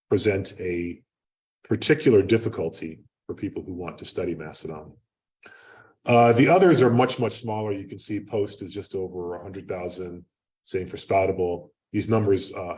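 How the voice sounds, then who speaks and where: A man giving an unscripted academic lecture in a loud, projecting voice, talking conversationally with lots of fillers and restarts. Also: deep voice. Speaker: guest lecturer. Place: lecture room. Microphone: speaking away from the microphone. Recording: distant room mic.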